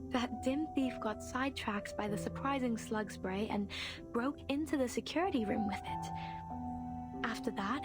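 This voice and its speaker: A woman's voice: fairly high pitch, natural voice. An actress playing a plucky, wry young woman who grieves her father in a video game.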